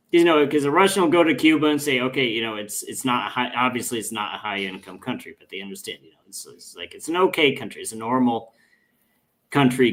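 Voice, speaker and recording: A man's voice: mid-range voice, a bit bright. A man in casual political discussion, rambling with many restarts and dropping his voice when quoting people. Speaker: podcast guest.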